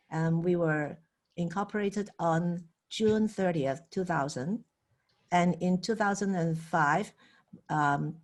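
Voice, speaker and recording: A woman who is an opera company director, giving a careful, measured introduction with hesitations. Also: fairly low pitch, a little mellow. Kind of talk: formal introduction.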